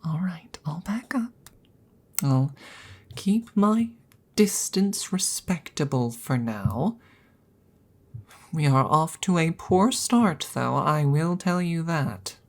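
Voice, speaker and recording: A woman voicing a polite, earnest, slightly awkward female dragon in an intimate ASMR roleplay, speaking softly and formally with hesitant stammers and frequent whispering. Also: deep voice, expressive, takes it slowly, long pauses, exaggerated voice. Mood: apologetic, coaxing. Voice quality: breathy, soft, often slipping into whisper.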